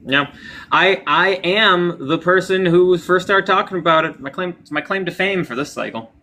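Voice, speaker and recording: A man hosting a casual morning show chat, excitable and quick to laugh. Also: high voice, slight background noise. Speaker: host.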